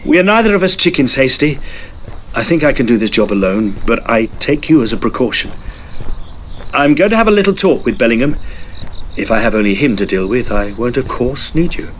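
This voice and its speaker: Actor as a stern, resolute young Victorian gentleman. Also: medium pitch, big pitch swings, brisk, slight background noise, natural voice, rough recording. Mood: brisk, determined. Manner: stern, clipped, authoritative. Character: resolute, commanding young male Victorian Oxford student narrator. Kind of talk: audio drama acting and first-person narration.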